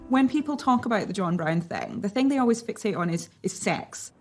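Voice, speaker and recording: A woman's voice: mid-range voice, animated, brisk, faint music behind. A woman giving explanatory commentary as an interviewee in a history documentary.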